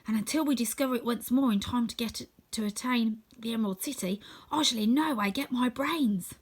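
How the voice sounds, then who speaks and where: A woman's voice: a little high, fairly quick, slightly rough, pitched above the performer's own voice. A woman voicing a grumbling, complaining male scarecrow in a storybook reading.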